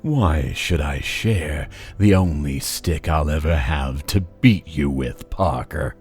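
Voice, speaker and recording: A man's voice: very deep voice, slow pace, a little mellow, soft background music. A man playing a smug, menacing villain with a monstrous doubled voice in a comic-book fan dub. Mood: smug menace.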